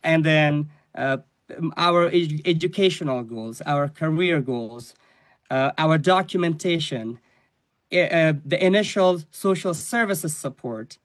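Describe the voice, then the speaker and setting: Earnest man from Afghanistan, higher voice. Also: no room sound. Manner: earnest, measured, with frequent fillers. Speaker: guest, charity staff member. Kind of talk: telling his personal story in an interview.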